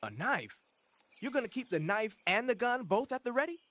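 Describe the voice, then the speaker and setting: An eager, curious comrade in a video game. His voice is high and swoops widely as he asks questions, easily impressed. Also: big pitch swings, moderate pace, a bit bright, exaggerated voice. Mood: excited, curious.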